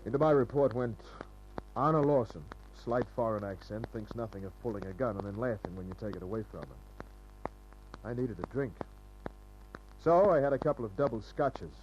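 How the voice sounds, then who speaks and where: A man's voice: on the low side, normal speed, soft background music, close to an everyday voice. A man playing a cynical, laconic private detective in a 1950s-style radio crime drama. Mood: deadpan, hardboiled. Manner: laconic, deadpan, wisecracking. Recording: dull, hissy old radio recording with hum.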